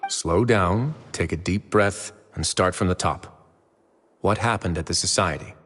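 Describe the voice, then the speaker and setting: An actor playing a composed, polite man in charge, speaking in a measured, formal way. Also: deep voice, fairly bright tone, in a large hall, faint music behind. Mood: firm. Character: composed male warden, calm and courteous.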